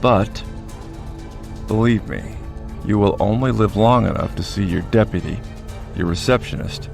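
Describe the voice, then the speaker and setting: An actor playing a menacing crime boss in a radio drama, speaking with controlled, deliberate threat. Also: male voice, fairly low pitch, vocal fry, a little mellow, soft background music. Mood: cold menace.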